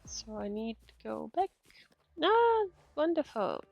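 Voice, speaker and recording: Unhurried, higher-voiced woman streaming a game. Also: sing-song, gravelly, dull audio, a little hiss or hum. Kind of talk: casual live game commentary.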